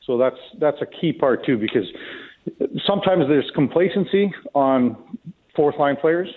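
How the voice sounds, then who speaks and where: A man's voice: a little high, lively intonation, measured pace. A man giving folksy, conversational opinions as a guest commentator in casual sports radio talk, heard over a tinny, narrow line.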